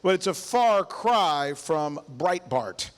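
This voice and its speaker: A man's voice: a little high, expressive, slow pace. A man speaking as a panelist, arguing his point in a live discussion. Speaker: panelist, journalist. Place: event hall panel. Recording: reverberant hall.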